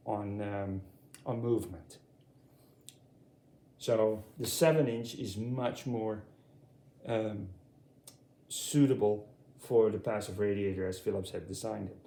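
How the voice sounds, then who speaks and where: A middle-aged man from Europe giving a casual, unscripted walkthrough as a hobbyist, speaking haltingly and repeating words. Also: on the low side, warm tone. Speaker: audio hobbyist presenting his speaker collection. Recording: echoey room sound.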